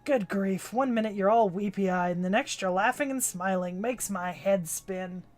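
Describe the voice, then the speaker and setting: A woman voicing a gruff, proud older male swordsmith with a growling voice and curt, commanding delivery, reading a game's story aloud on stream. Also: medium pitch, moderate pace, darkish tone, close to an everyday voice.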